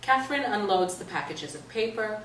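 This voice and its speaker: A woman's voice: mid-range voice, expressive, moderate pace, a bit bright. A woman narrator reading stage directions aloud at a staged reading. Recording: echoey stage, distant mic, noisy room.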